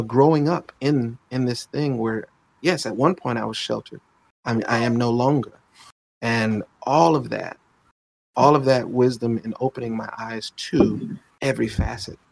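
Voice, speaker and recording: A man in his late thirties speaks thoughtfully in a relaxed conversation, often restarting his sentences and leaning on filler phrases. His voice is mid-pitched with a steady gravelly fry. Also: a little slow, pauses often, no room sound, low-quality recording. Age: 30s. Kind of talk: reflective conversation.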